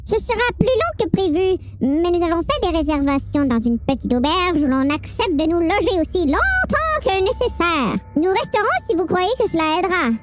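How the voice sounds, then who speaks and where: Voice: very high voice, big pitch swings, normal speed, hardly any pauses, over music. A very young-sounding performer playing anxious characters, a girl patient and a worried parent, in an amateur audio drama.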